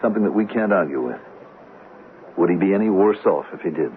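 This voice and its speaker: A man's voice: sits low, expressive, fast. An actor playing a troubled, resigned man in a radio drama, speaking earnestly and somberly. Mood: somber resignation. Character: troubled, resigned man defending a painful decision. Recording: phone-like old radio, faint noise.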